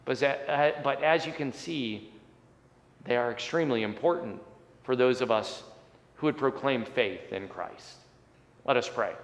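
A man's voice: mid-range voice, unhurried, pauses often. A man in his forties, a pastor, preaching and praying in an earnest way with rising and falling emphasis. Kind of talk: sermon and prayer. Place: church sanctuary, indoors. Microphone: fixed camera at chest height. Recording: long church hall reverb.

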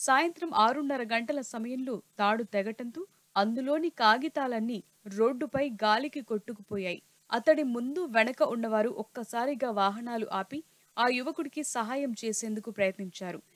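A woman reads a scripted television news report in a steady, formal manner. Her voice sits a little high, and the recording is close and dry. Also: normal speed, dry recording.

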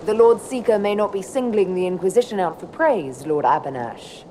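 An actress playing a dry, sardonic young heroine in a video game, with a wry, deadpan delivery. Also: female voice, mid-range voice, normal speed, slight background noise, lightly acted. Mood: guarded. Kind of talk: dramatic video game dialogue.

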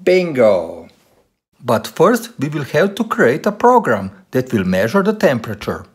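Animated man vlogger, lively pitch swings. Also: unhurried. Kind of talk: presenting to camera and narrating a car tech video.

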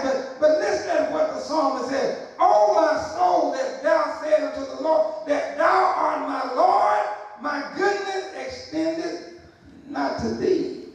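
A middle-aged man preaching a sermon as pastor, building to shouted emphasis and asking the congregation for response. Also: extremely high pitch, vocal fry. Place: church sanctuary, large, indoors. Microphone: pulpit microphone, far camera. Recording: very echoey church hall from a distance.

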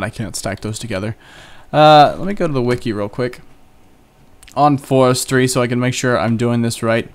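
Young man, gameplay commentary, chatty, self-joking, smooth resonant voice, keyboard clicks behind. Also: medium pitch. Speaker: gaming channel host. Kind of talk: casual Let's Play commentary.

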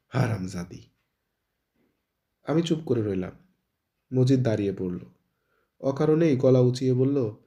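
Mellow-voiced man reading a story. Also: medium pitch. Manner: acts out character dialogue within narration. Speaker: story narrator.

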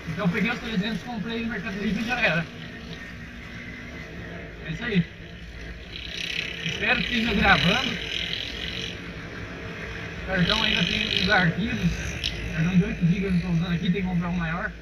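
A young Brazilian man with a fairly high voice talks casually to his viewers as he rides. The sound comes from a dull, muffled helmet camera, with steady engine and wind noise loud behind him. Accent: Brazilian Portuguese. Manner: chatty, addresses viewers as "rapaziada". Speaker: motovlogger. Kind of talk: casual motovlog while riding. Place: outdoors on a dirt road, riding a motorcycle. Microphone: helmet-mounted action camera.

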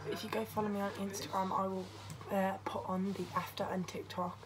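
A woman's voice: mid-range voice, little pitch movement, a little fast. A teenage girl chatting casually in a home vlog from her bedroom, rambling with plenty of fillers. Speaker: vlogger. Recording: noisy home video.

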